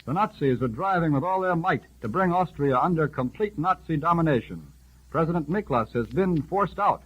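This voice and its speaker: A man reading a formal news bulletin for an old 1938 radio broadcast, urgent and rapid in his delivery. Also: on the high side, lively intonation, breathy edge, dull audio. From United States. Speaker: radio newscaster.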